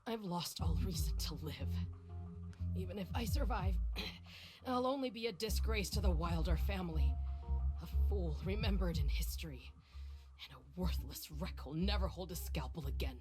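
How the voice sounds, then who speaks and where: A woman's voice: lowish voice, moderate pace, lightly acted. A woman voicing a cold, arrogant villainous scientist in a video game, with a condescending, menacing, theatrical delivery. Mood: weak, defeated. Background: game music.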